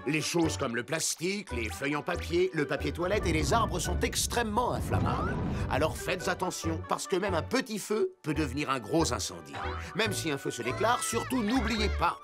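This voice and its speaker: A woman's voice: low-pitched, very animated, normal speed. A woman voicing an earnest rescue-team character in a cartoon dub, giving fire-safety advice. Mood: instructive. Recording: loud music behind.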